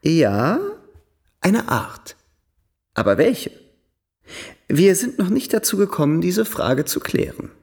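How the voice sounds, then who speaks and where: An actor playing a charming, worldly young European gentleman in a radio drama, polite, effusive and playfully theatrical. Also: high voice, sing-song, slow pace, gravelly, long pauses, breathy edge, heavily acted.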